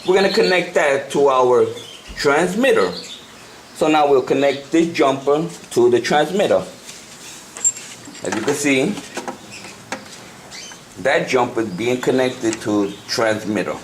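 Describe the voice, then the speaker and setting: A man giving an unscripted hands-on tutorial, talking haltingly and repeating himself as he works through the steps. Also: mid-range voice, animated. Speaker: hobbyist presenter of a CB radio tutorial. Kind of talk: unscripted hands-on how-to demonstration. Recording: noisy amateur home video.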